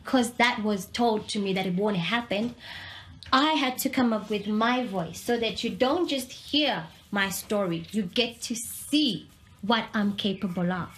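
A woman's voice: medium pitch, measured pace, echoey room, a little hiss or hum, poor audio. A young woman from Zambia speaking candidly and earnestly about her own life. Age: young adult. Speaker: interviewee. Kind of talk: personal testimony.

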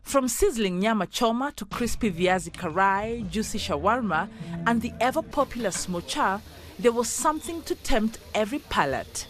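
A woman's voice: on the high side, lively intonation, moderate pace. A woman narrating a news feature with an upbeat, descriptive delivery. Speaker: news reporter.

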